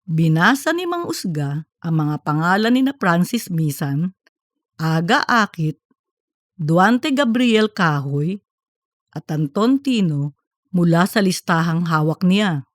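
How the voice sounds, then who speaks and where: A woman's voice: on the low side, expressive, slow pace, dry recording, studio quality. A woman narrating a scripted comic audiobook story.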